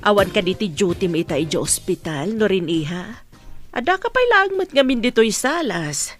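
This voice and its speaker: A woman's voice: mid-range voice, big pitch swings, moderate pace, faint music behind, close to an everyday voice. A woman acting a concerned, nudging middle-aged mother in an Ilocano radio drama, with an Ilocano Filipino accent. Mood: concerned, coaxing.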